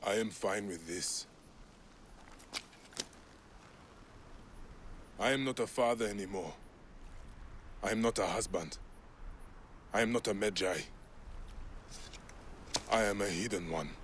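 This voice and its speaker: A man playing a weary, resolute Egyptian warrior in a video game, speaking solemnly and deliberately. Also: fairly low pitch, slight background noise, natural voice. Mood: grave, resolute. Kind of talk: dramatic video game acting.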